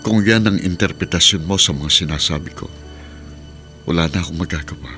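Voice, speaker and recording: A man's voice: sits low, a little fast, bright, forceful tone, soft background music. An actor playing an anguished, devoted lover and father in a radio drama, speaking earnestly and emotionally. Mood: reproachful, hurt. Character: anguished man in love, fiercely devoted new-found father. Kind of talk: Tagalog radio drama acting.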